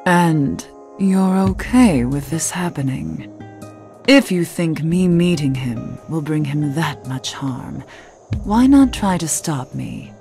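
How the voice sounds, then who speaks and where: A woman playing a somber, gently wry cursed witch in a video game, measured, earnest and questioning. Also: sits low, lively intonation, faint music behind, heavily acted. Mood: probing, composed. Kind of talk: video game cutscene acting.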